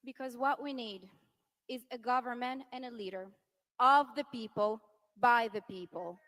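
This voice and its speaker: A woman in her thirties from Venezuela, giving an impassioned political rally speech that rises to rousing shouts. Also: on the high side, normal speed. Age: 30s. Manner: impassioned, rising to rousing shouts on key lines. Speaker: politician's wife speaking at a rally.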